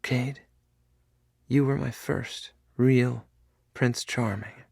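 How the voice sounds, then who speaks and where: A man's voice: mid-range voice, fairly even intonation, extremely slow, vocal fry, darkish tone, breathy edge, dry recording, clean studio sound, voice pushed up, exaggerated voice. A man voicing a frail, sick young woman who is tearful and pleading.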